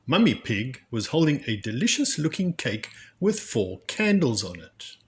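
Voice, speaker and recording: A man giving an expressive storybook narration for children, with deliberate, animated phrasing. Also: mid-range voice. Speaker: storybook reader, children's read-aloud channel.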